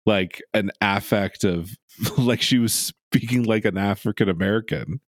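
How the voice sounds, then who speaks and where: A man's voice: on the low side, unhurried. A man in his thirties co-hosting a podcast, joking sarcastically in casual recap chat from a makeshift home recording space. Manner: sarcastic, joking, swearing, lively swoops into silly voices. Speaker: podcast co-host.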